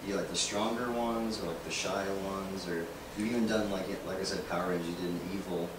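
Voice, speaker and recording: A man's voice: deep voice, steady tone, fairly quick. A man interviewing at a convention, asking rambling, hesitant questions. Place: convention room. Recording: echoey room, far mic, noisy.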